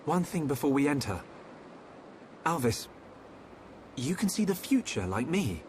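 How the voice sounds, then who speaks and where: Young game hero, earnest n thoughtful, kinda questioning, pitch moves a lot. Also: male voice, sing-song, unhurried, a little mellow, slight background noise. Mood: earnest, puzzled. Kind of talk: scripted video game cutscene acting.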